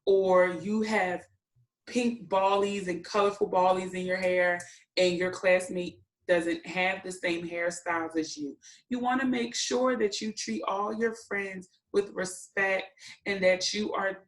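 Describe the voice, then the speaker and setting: A woman hosting a children's storytime, reading and explaining words simply in an encouraging way to young listeners. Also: fairly low pitch. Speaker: children's read-aloud host and teacher. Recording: echoey room.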